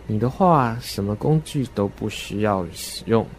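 A man playing a calm, matter-of-fact, sinister doctor in a horror radio drama. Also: fairly low pitch, a little slow, noisy background, voice pushed down. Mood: calm, professional.

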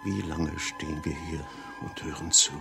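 Very gravelly, haunted witness. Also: male voice, extremely low pitch, normal speed, music in the background, heavily acted. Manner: detached, brooding, reflective. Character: dazed, haunted man recounting what he witnesses. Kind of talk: radio drama monologue.

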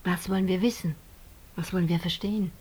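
A woman's voice: fairly low pitch, fairly even intonation, a little fast, dark tone, husky. An actress performing in a German radio drama, voicing a female court announcer and dinner hostess. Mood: questioning.

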